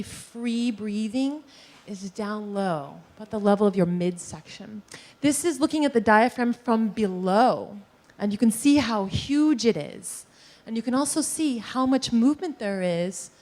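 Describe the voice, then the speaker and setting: A woman giving a lively presentation on posture and breathing to a live audience in a meeting room, guiding them through movements. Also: medium pitch, a little slow. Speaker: posture and breathing teacher giving a workplace talk.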